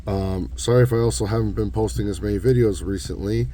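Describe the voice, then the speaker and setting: A young man with a hoarse voice from losing it, casually walking through a hands-on tutorial in a relaxed, rambling way. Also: on the low side, fast, a little hiss or hum. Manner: relaxed, rambling, self-correcting. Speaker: knife modding channel host.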